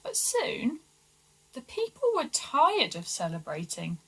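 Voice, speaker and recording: Slow, expressive woman reading a children's story. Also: on the high side, breathy edge, low-quality recording. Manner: deliberate, dramatic emphasis. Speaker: story reader for schoolchildren.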